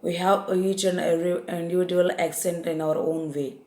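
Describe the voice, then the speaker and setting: A woman's voice: on the low side, flat delivery, moderate pace, dark tone, echoey room. A woman with an Indian English accent teaching confusable English words, repeating each definition and often hesitating with fillers. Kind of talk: vocabulary lesson.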